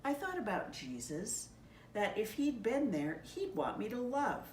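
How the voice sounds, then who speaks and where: A woman pastor reading a children's story aloud in a measured, gently expressive storybook delivery. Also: a little high. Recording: echoey room, distant mic, faint noise.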